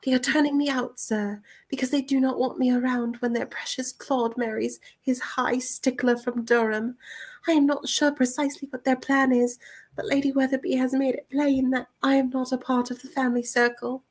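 A woman's voice: sits high, steady tone, normal speed, pitched above the performer's own voice. A woman voicing a meek but dignified young widowed mother with formal, wronged politeness, as in a storybook reading. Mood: hurt, formal.

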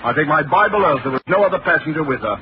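An actor playing a jovial, gentlemanly second officer of a ship in an old radio drama, hearty and genteel. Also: male voice, on the high side, expressive, very fast, natural voice. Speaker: ship's officer. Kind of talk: 1940s radio acting. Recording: dull lo-fi old radio recording, hiss.

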